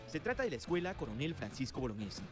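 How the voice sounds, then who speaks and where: Lively male news reporter over music. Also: medium pitch, fast. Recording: broadcast clip with music behind.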